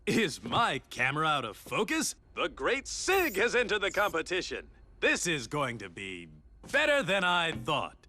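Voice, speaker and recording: Slick, high-pitched TV reporter. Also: male voice, huge pitch swings, unhurried, sharp tone, heavily acted. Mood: gleeful. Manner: slick, smug, needling. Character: slick, taunting male broadcast reporter. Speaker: racing show reporter. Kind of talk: exaggerated video game cutscene acting.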